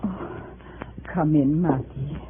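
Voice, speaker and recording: A woman's voice: low voice, steady tone, takes it slowly. An actress playing a weary old immigrant mother in a 1950s radio drama. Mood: weary, reluctant. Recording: muffled, noisy old radio recording.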